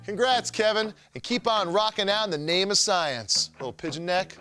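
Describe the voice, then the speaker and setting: A man's voice: high voice, moderate pace, pushed and bright. A man hosting a science show, reading scripted narration in a tongue-in-cheek, wisecracking way. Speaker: show host. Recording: music bed under the voice. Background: music.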